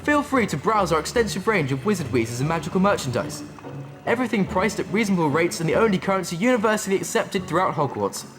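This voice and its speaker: A mischievous British teenage boy running a magic shop in a game. His voice is fairly high and bouncy, full of salesman patter. Music plays clearly behind. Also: very animated, fast. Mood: jaunty. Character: jaunty, mischievous teenage boy shopkeeper wizard.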